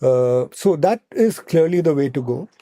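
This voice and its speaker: A man's voice: on the high side, lively intonation, normal speed, mellow, no room sound, clean studio sound. A man with an Indian accent speaking as an expert podcast guest, explaining point by point in conversation and often checking in with "right?".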